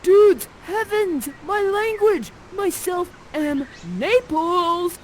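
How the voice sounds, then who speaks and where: A woman voicing a goofy, lovesick young prince with drawn-out surfer-dude earnestness in a comic stage play. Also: very high-pitched, darkish tone, slight background noise, voice pushed up, heavily acted. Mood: awestruck.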